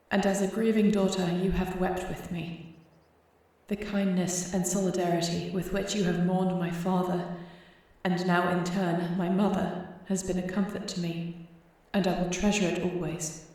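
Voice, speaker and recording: A woman's voice: on the low side, dark tone, heavy reverb, low-quality recording. A woman playing a newly crowned young dragon shifter queen in an audio roleplay, speaking formally and earnestly. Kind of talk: scripted audio roleplay acting.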